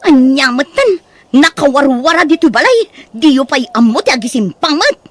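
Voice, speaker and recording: A woman's voice: high-pitched, expressive, moderate pace. A woman playing a bossy, scornful woman in a radio drama, scolding and dismissive as she talks. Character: bossy, scornful gambling daughter who berates her elderly in-laws. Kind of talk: radio-style Ilocano drama acting.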